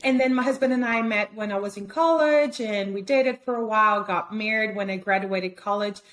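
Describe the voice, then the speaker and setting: A woman's voice: mid-range voice, normal speed, a bit bright. A woman from Brazil whose first language is Portuguese, speaking with a Brazilian Portuguese accent as a guest in a casual interview, chatty, with many fillers and restarts. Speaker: guest photographer.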